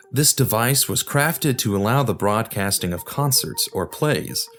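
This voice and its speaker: Man narrating a history podcast, lively pitch. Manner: explanatory, addresses the listener directly. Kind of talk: scripted documentary narration.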